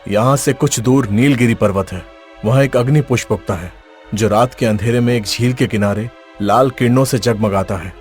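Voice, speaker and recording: A man voicing a stern, wise holy man in an animated fairy tale, solemn, commanding and instructive. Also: medium pitch, moderate pace, soft background music, close to an everyday voice. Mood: solemn counsel.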